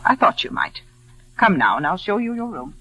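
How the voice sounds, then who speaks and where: An actress playing a stern, lonely older widow in a 1940s radio drama, clipped and brooding in delivery. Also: female voice, lowish voice, big pitch swings, normal speed, loud music behind the voice. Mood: bitter, lonely. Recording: muffled vintage radio recording.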